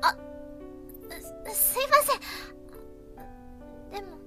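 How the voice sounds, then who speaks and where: A first-year high school girl with a very high, small voice. She is shy and polite, stammering but earnest and determined underneath. It is voice drama acting with music clearly behind it. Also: lively intonation, bright, forceful tone, exaggerated voice. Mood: hesitant, earnest. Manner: flustered, halting, polite stammer.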